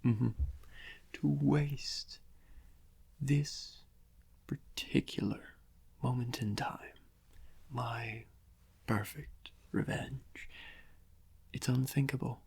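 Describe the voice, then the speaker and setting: A man playing a vain, theatrical criminal mastermind who gloats and draws out his phrases in a soft-spoken ASMR roleplay, with a breathy, gravelly voice. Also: mid-range voice, steady tone, full character voice. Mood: smug, savouring. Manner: slow, drawn-out, melodramatic, savouring each phrase with long pauses.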